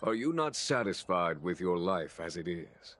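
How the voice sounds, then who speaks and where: A man voicing a grave, calm, solemn general in an anime-style game, with a probing manner. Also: deep voice, measured pace, exaggerated voice.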